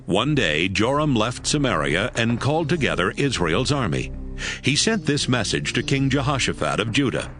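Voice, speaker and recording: A man's voice: mid-range voice, sing-song, moderate pace, music in the background. A man giving scripted narration for a dramatized audio Bible.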